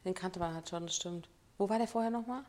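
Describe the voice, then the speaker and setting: A woman's voice: lowish voice, fast talker, darkish tone. A woman co-hosting a podcast, chatting casually and wryly about a reality show. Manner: gossipy, wry, chatty. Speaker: podcast co-host.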